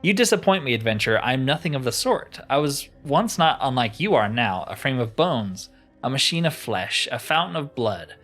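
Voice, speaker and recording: A haughty ancient enchanter living as a crystal, put on by a man reading a game aloud. He is pompous and theatrical, with a mid-pitched voice that moves up and down a lot. Music plays softly behind. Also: big pitch swings, moderate pace. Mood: lofty, boastful. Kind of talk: reading video game dialogue aloud in character.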